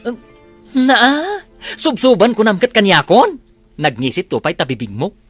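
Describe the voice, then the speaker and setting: A man's voice: high voice, huge pitch swings, a little slow, fairly bright tone, soft background music, full character voice. An actor with an Ilocano Filipino accent playing a catty, brash, effeminate male gossip in a comedy radio drama. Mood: indignant.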